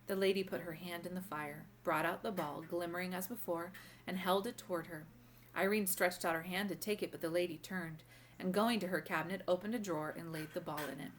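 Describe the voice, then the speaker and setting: A woman's voice: lowish voice, steady tone, fairly quick, a little hiss or hum. A woman narrating a children's novel as a read-aloud. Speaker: storybook reader.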